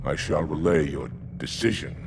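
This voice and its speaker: An actor playing a dutiful alien military commander in a video game, formal, stern and guarded, with a gravelly voice. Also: male voice, very deep voice, lively intonation, moderate pace, background noise, full character voice. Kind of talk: video game cutscene acting.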